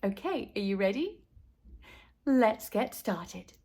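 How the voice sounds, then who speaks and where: A woman reading a children's story aloud in an expressive, deliberate way, speaking directly to young listeners. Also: medium pitch, husky. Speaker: children's book reader.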